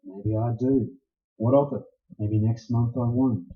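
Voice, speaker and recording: An actor playing a bitter, unsentimental private detective in a tough, clipped 1940s radio noir style. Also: male voice, medium pitch, fairly even intonation, unhurried, close to an everyday voice. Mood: hard, conflicted. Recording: dull, echoey room recording.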